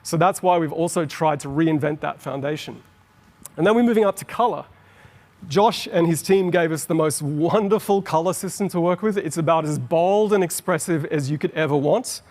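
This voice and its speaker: Aussie guy presenting at a conference, kinda high voice, explains stuff eagerly, big echoey hall. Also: darkish tone. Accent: Australian. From Australia. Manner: explanatory, enthusiastic, quickens on asides. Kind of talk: keynote presentation. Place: large conference hall on stage. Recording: long hall reverb.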